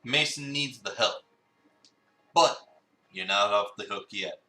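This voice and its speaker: Guy doing a needling coworker from a visual novel, playful, bright voice in a roomy space. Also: fairly low pitch, slow pace, faint music behind, full character voice. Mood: teasing. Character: pushy, teasing cafe coworker. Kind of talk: visual novel dramatic reading. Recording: roomy, a bit far from the mic.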